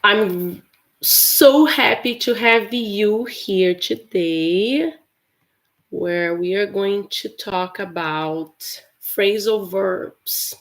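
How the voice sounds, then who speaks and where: A woman's voice: mid-range voice, drawn-out words. A woman from Brazil whose first language is Portuguese, teaching English in a chatty live stream with many fillers and restarts. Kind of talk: casual livestream lesson.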